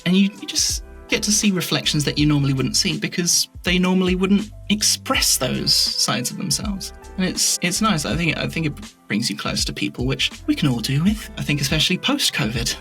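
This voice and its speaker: A man's voice: on the high side, expressive, fairly quick, hardly any pauses, sharp tone, soft background music. A man speaking as a podcast guest in casual interview chat about his creative work, reflective and informal, often rephrasing mid-thought. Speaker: podcast guest, fantasy audio drama creator.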